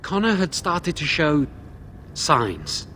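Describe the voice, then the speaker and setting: An actor playing an anxious, guilt-ridden young mage prisoner in a fantasy video game, earnest and pleading. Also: male voice, fairly high pitch, wildly swooping pitch, slow pace, pushed and bright, slight background noise, exaggerated voice. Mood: anxious explaining. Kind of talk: dramatic fantasy game dialogue.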